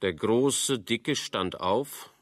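A male narrator of a literary radio play, with a fairly low voice recorded dry and close. He tells the story in a measured, scripted manner. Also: no echo.

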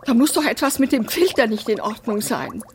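A woman's voice: on the high side, fairly quick, background noise, lightly acted. An actress playing an eccentric, chatty mother in a radio play, rambling and exclamatory. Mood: exasperated, fussing. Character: eccentric, chatty mother fussing over pet fish. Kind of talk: radio drama acting.

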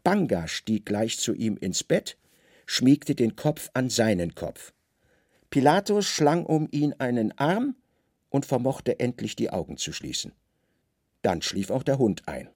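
A male radio drama narrator tells a literary story in short, weighted phrases. His pitch moves freely and expressively, with gravelly fry in the voice, recorded close and dry. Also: a little mellow, dry recording.

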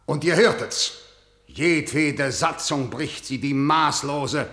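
A harsh tyrant king declaims stylised verse in a rather high male voice. He is imperious and scornful, in an echoing room, as in a radio play. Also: slow pace, a bit bright. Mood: indignant accusing. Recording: echoey, reverberant room.

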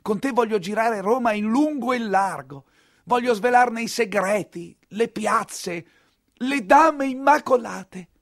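Actor as a young aristocrat, high, slow declaiming, polite, eager, dreamy, theatrical, dry sound. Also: male voice, no echo. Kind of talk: theatrical monologue character.

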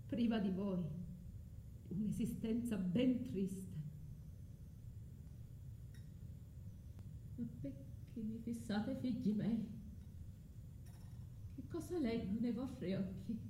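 High-voiced tragic Medea. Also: female voice, mellow. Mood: grieving. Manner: impassioned, declamatory, swinging between tenderness and fury. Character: Medea, anguished vengeful mother resolving to kill her children. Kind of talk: tragic stage monologue for radio theatre. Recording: roomy echo, faint background noise.

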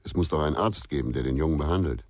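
An actor playing a brooding, insistent investigator in a radio crime drama, with terse, probing speech and a deep, husky voice. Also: male voice, racing, a little mellow, dry recording. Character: brooding, insistent male amateur investigator, sleepless.

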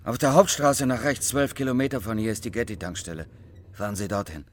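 Actor as a low, blunt small-town man. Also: animated, a little fast, lightly acted. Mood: matter-of-fact. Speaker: actor in crime radio drama. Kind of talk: naturalistic radio drama dialogue.

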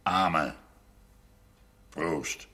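A man playing a village parish priest in a television drama, gravelly and husky, telling stories slowly and emphatically. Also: extremely low pitch, bright, forceful tone, heavily acted. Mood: convivial. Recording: 1970s television drama soundtrack.

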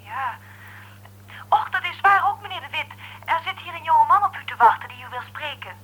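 High, bright secretary. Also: female voice, animated, fairly quick, rough recording. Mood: polite, helpful. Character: polite, deferential office secretary. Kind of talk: radio drama acting.

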